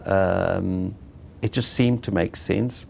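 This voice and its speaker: A middle-aged South African man being interviewed, telling his own story in an unhurried, halting way and often correcting himself. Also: low-pitched, gravelly, a little mellow, a little hiss or hum. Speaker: interviewee, property director. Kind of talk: reflective personal storytelling.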